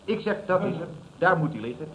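A man's voice: fairly high pitch, lively intonation, fast, fairly bright tone, slight background noise, poor audio. An actor playing a self-satisfied, earnest male architect in a radio comedy, talking pompously and deferring to his boss.

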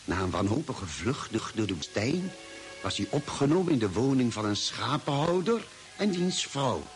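A man as an earnest narrator of an old radio space adventure, reading scripted narration in a measured, dramatic way. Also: mid-range voice.

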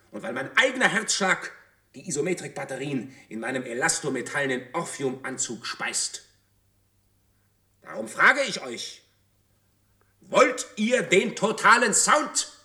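Bombastic orator, lively, echoing voice. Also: male voice, mid-range voice. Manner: declamatory, rhetorical repetition, pompous. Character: bombastic male artist-prophet rehearsing a rousing public speech. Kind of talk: theatrical oratory in a radio play. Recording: echoey, reverberant voice.

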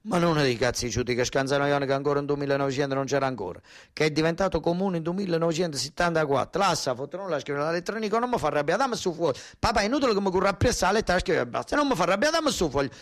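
A man's voice: on the high side, animated, rapid-fire, dry recording, studio quality, pitched above the performer's own voice, natural voice. An actor playing an angry, coarse old peasant father with a southern Italian accent, in a theatrical monologue full of repetition. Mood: furious. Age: older. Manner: coarse dialect, repetitive, exclamatory.

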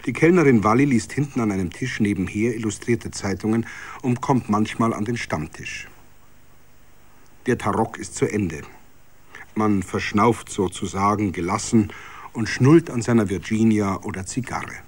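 A man's voice: lowish voice, normal speed, breathy edge. A man with a Bavarian accent reads a literary story as a dramatic narrator for radio. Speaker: story reader.

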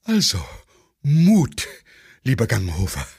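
Ein Mann spielt einen eiligen Flügeladjutanten, der drängend Anweisungen gibt. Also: male voice, medium pitch, huge pitch swings, takes it slowly, a little mellow, husky, no room sound, clean studio sound, full character voice. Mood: urgent coaching. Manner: urgent, coaching instructions. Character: fussy imperial aide-de-camp, hurried.

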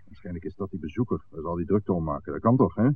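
Curt low-voiced crime boss, thin old recording. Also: male voice, expressive, fast talker, a little hiss or hum. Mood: wary, curt. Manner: curt, bossy. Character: cold, commanding male crime boss, wary and menacing. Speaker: actor. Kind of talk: 1960s radio drama acting. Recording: muffled, phone-like old radio recording.

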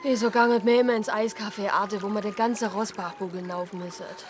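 An actress playing a curious, practical deaconess with a Swabian accent and a direct, folksy manner in a radio play. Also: female voice, mid-range voice, fairly even intonation, fairly quick, over music, close to an everyday voice. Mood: inquisitive.

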